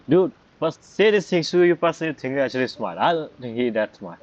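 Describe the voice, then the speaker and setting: A man's voice: mid-range voice, lively intonation, fairly quick, slight background noise. A man streaming a game with casual, blunt, slangy commentary in non-fluent English phrasing. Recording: dull lo-fi mic over game music.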